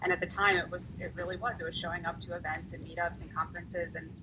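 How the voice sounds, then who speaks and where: Lady lawyer rambling friendly on a panel, lowish voice, lots of ums and restarts, hissy background. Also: little pitch movement, fast talker. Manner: chatty, lots of "um" and repeated words. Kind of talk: casual panel conversation. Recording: noisy, hissy recording.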